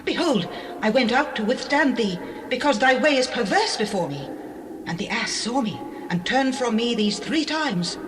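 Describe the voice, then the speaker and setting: A woman's voice: a little high, animated, moderate pace, recorded from a distance, natural voice. Otherworldly female being, played by a woman: solemn reproach, set back from the mic, noisy ambience, Bible audio drama. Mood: stern reproof. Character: otherworldly speaker, talking ass and reproving angel. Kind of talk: dramatized scripture reading.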